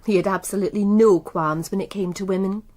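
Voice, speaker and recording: A woman's voice: medium pitch, fairly even intonation, fairly quick, a little mellow, close to an everyday voice. A woman playing a proud, worldly businesswoman with an English accent in a British radio crime drama, composed, articulate and coolly self-assured. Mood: cool, knowing. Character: poised, proud, worldly businesswoman running a bookmaking empire.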